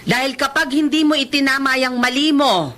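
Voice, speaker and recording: A woman's voice: sits high, steady tone, brisk, sharp tone, slight background noise. A Filipino woman acting in a radio drama as a critical, scolding middle-aged mother.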